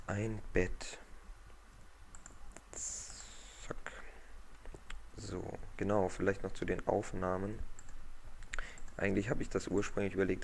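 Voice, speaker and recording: A man with a cold, hoarse, giving relaxed, rambling commentary while he plays a game. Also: low-pitched. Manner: relaxed, rambling, thinks aloud. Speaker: gaming channel host. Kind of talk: casual let's-play commentary. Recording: noisy home mic recording.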